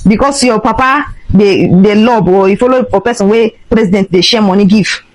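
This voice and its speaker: Casting: Nigerian woman, rapid emphatic delivery, impassioned vlog rant mixing Pidgin and English, dry recording. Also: medium pitch, no echo, poor audio. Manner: emphatic, indignant, switching between English and Pidgin. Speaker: social media vlogger.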